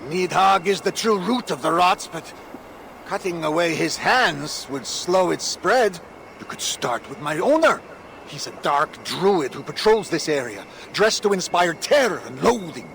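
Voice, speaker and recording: An actor playing a weary, resigned enslaved bridge mender in a dark fantasy video game, speaking grimly. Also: male voice, fairly high pitch, lively intonation, unhurried, vocal fry, a little hiss or hum, full character voice, rough recording. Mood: grim, advising. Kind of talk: video game character acting.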